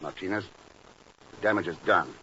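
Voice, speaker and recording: An actor playing an unflappable secret agent in 1950s radio drama, clipped, wry and commanding. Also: male voice, low voice, normal speed, sharp tone. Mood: cool, firm. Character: unflappable secret agent, brisk, blunt American-style radio hero. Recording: muffled 1950s radio recording, faint hiss.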